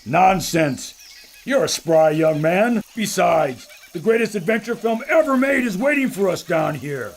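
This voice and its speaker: A brash, boastful adventurer filmmaker with a gruff swagger. His voice sits high and gravelly with a husky edge. It swoops with lively energy. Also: unhurried, heavily acted. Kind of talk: audio drama acting.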